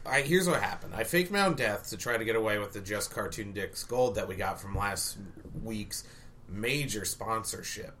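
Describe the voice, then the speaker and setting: A man's voice: lowish voice, animated, moderate pace, crowd noise. A young man co-hosting a comedic podcast, goofy and playfully insistent. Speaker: podcast co-host.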